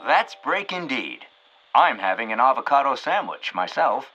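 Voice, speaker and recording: Picture a showy, arch radio-hour host. His theatrical narration swings dramatically in pitch, with music playing underneath. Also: big pitch swings, normal speed, pushed and bright, highs cut off.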